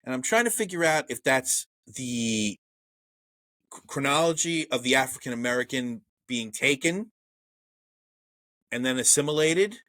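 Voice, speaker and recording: Blunt Brooklyn man, bright voice. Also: medium pitch, expressive, measured pace, long pauses, dry recording. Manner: blunt and profane, reads trivia aloud. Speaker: podcast co-host. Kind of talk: casual movie review chat.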